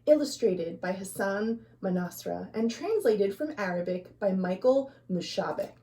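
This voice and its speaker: A woman reading a children's story aloud in an expressive, dramatic way, as a storytime host. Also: mid-range voice, slight background noise. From United States. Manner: expressive, dramatic, deliberate delivery with hushed phrases. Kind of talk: animated picture-book storytelling for children.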